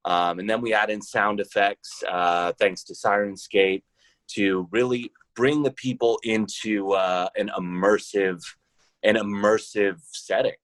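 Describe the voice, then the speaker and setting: A man with a very low-pitched voice gives casual interview answers as a podcast guest. The sound is close and very dry, with no room around him. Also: fairly even intonation, unhurried, fairly bright tone, dry recording.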